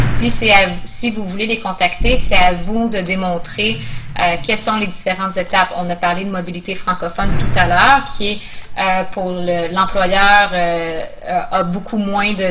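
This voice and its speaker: Woman doing a webinar q&a, explains stuff kinda haltingly, medium pitch, bit of noise on the audio. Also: pushed and bright. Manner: hesitant, explanatory, reads out questions then answers. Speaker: immigration officer answering webinar questions. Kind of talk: informal question-and-answer webinar presentation.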